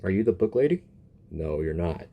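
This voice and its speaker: Animated, slangy gamer reacting. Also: sits low, moderate pace, lo-fi sound, a little hiss or hum. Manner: slangy, playful, expressive. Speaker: gamer reacting to a story quest. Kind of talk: casual gameplay reaction commentary. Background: game music.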